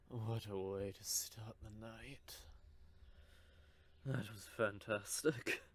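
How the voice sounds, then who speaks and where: A man voicing a cocky, possessive male vampire who teases with soft whispered asides, in an audio roleplay. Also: lowish voice, a little hiss or hum, heavily acted. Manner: teasing, smug, with soft whispered stretches.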